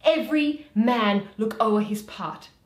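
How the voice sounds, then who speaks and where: A woman's voice: a little high, takes it slowly. A woman as boisterous, self-important Athenian tradesman in Shakespeare. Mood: boisterous, commanding. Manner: emphatic, grandly declaiming. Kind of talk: Shakespeare read aloud as solo performance. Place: small room at home, indoors. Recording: roomy home recording.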